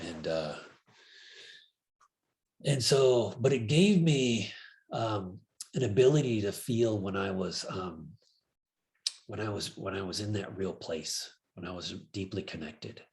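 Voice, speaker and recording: A man in a reflective group conversation, speaking slowly and hesitantly with many pauses and ums. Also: fairly low pitch, creaky.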